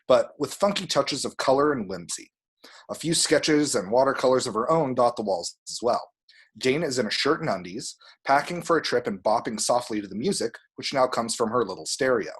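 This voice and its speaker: A man's voice: medium pitch, slightly rough. A man reading scene descriptions as the narrator of an amateur screenplay table read. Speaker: stage direction reader.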